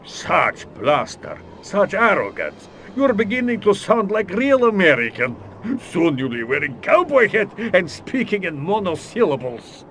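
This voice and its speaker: An actor playing a smug, theatrical Soviet mastermind in a video game, gloating pompously in a Russian accent. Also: male voice, high-pitched, big pitch swings, unhurried, a bit bright, slight background noise, exaggerated voice. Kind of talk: video game cutscene acting.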